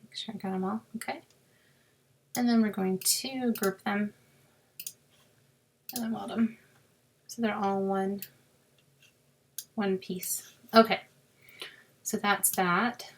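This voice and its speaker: A woman with a sore, scratchy throat, explaining a crafting software task step by step in a chatty way and muttering to herself as she clicks. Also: mid-range voice, steady tone, slow pace, long pauses. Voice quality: raspy with creaky fry. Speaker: crafting tutorial host. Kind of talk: screen-recorded software walkthrough.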